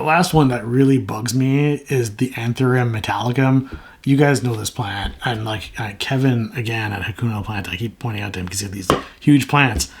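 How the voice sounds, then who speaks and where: A man's voice: mid-range voice, slightly rough. A man with a sore throat, chatting casually and frankly to camera as the host of a plant channel. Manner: rambling, frank, self-mocking.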